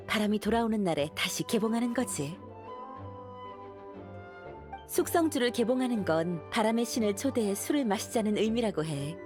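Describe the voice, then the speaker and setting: A woman's voice: a little high, close to an everyday voice. A woman playing a dutiful young knight commander in an anime game, formal, earnest and authoritative.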